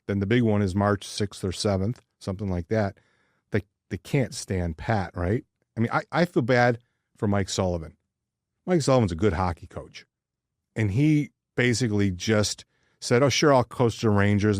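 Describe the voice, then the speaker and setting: A man's voice: lowish voice, moderate pace, no echo, studio quality. A man co-hosting a podcast with casual, blunt and wry sports commentary. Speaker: podcast co-host.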